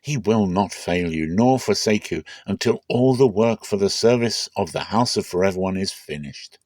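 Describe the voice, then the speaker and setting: A man's voice: low-pitched, expressive, normal speed, darkish tone, no room sound, studio quality. A man playing an aging, fatherly king of Israel, solemn and commanding, in a declamatory scripture reading. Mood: tender, encouraging. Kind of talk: dramatic scripture storytelling.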